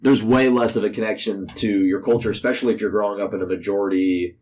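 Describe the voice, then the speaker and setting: A man's voice: fairly low pitch, steady tone, fast talker, a little mellow, slight background noise. A young American man giving casual, rambling interview answers with "like" sprinkled throughout. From United States. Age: young adult. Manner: rambling, informal, peppers speech with "like". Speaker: podcast interview guest.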